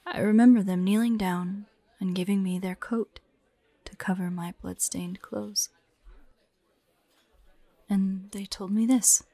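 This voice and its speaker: A woman voicing a grieving, vengeful young demon hunter in an audio roleplay, soft and breathy, telling her story haltingly. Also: mid-range voice, fairly even intonation, no room sound. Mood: wistful, subdued. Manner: slow, halting, haunted storytelling. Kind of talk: dramatic audio roleplay monologue.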